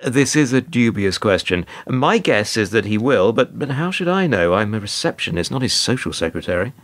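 An actor in a radio play playing a world-weary, put-upon hotel receptionist who talks in a wry, resigned, long-winded formal way. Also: male voice, lowish voice, very animated, normal speed, dry recording. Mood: baffled, wry. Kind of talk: radio play monologue and dialogue.

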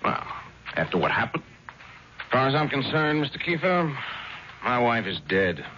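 An actor playing a bitter, secretive ex-convict in a 1970s radio drama. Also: male voice, mid-range voice, sing-song, moderate pace, lightly acted. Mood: guarded, polite. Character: bitter male ex-convict football player, truck driver, secretive. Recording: muffled, noisy 1970s radio broadcast.

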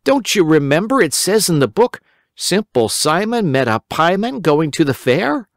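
A man's voice: on the high side, big pitch swings, normal speed, dry recording, studio quality, pitched above the performer's own voice. A man voicing a simple, hungry, round-faced young boy who whines and pleads. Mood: pleading. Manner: whiny, pleading, easily upset.

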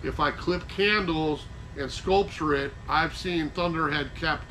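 A man's voice: on the high side, measured pace, sharp tone, low-quality recording. An older man with an American accent gives an informal gardening lecture in a folksy, conversational way, stressing items as he lists them. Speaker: nursery class presenter. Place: covered wooden garden-nursery structure. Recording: noticeable background noise.

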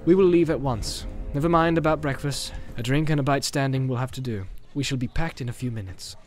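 A man voicing a grave, practical ranger guide in an audiobook, measured and terse. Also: mid-range voice, soft background music, close to an everyday voice. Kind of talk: dramatized audiobook acting.

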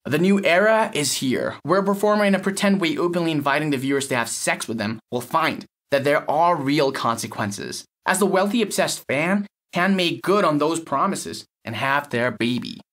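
Man playing a cynical, higher-pitched lab technician. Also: lively intonation, normal speed, few pauses, fairly bright tone. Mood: sardonic. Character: cynical, blunt male lab technician, slick explainer. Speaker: audio story character, fertility lab technician. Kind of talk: acted dialogue in an audio short story.

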